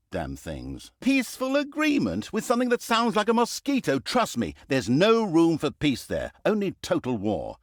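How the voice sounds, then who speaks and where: An actor playing a sardonic, theatrical storyteller, narrating a comic fantasy video game in a wry, mock-grand way. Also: male voice, medium pitch, darkish tone, no echo, studio quality. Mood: irritated, confiding.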